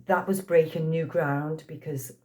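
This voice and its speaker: A woman's voice: low voice, steady tone, a little slow, mellow. A woman in her sixties from Liverpool with a Liverpool accent, chatting in an interview and telling anecdotes with frequent laughs. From Liverpool, England. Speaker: actress being interviewed. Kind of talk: casual storytelling interview.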